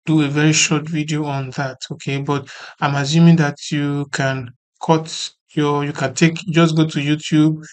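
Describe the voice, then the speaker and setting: A tutorial host explaining software step by step in an informal way, repeating points and often checking that the listener follows. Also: medium pitch, little pitch movement, normal speed. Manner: repetitive, often checking for understanding.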